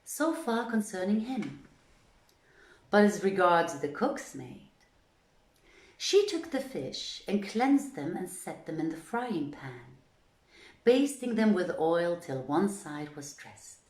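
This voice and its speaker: Slow-paced woman storyteller in an echoing room. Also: lowish voice, big pitch swings, a little mellow. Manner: measured, dramatic, deliberate phrasing. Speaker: storyteller, reader. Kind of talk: literary storybook narration.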